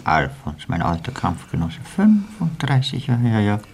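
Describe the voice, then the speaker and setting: An aging, philosophical companion in a radio drama. His low, creaky voice rises and falls as he ruminates and needles, with faint noise behind. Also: wildly swooping pitch, normal speed, darkish tone, heavily acted. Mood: musing. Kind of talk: radio play acting.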